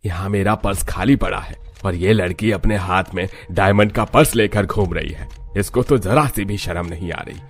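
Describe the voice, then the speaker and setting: A man's voice: low voice, normal speed, few pauses. A man puts on a greedy old schemer of a father from a moral tale. He sounds sly and grumbling, with light music underneath. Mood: resentful.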